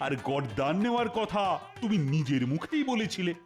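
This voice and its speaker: A man's voice: high-pitched, big pitch swings, brisk. A man voicing a gullible, hot-tempered king in a Bengali comedy cartoon, with a blustering, theatrical delivery. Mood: stern. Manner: blustering, stammers when flustered. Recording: music behind the voice.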